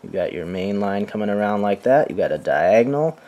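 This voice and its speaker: A man calmly talking through how to tie knots step by step, filmed indoors with the camera looking down at his hands. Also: fairly low pitch, dull audio. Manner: calm, unhurried, with soft fillers. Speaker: knot-tying instructor. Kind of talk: step-by-step demonstration. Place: indoors, filming hands over a carpeted floor.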